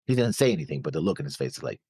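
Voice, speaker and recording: A man's voice: a bass voice, big pitch swings, very fast, dark tone, breathy edge, dry recording, studio quality. A man co-hosting a casual discussion show, rambling through his notes and acting out imagined remarks. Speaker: reaction show co-host.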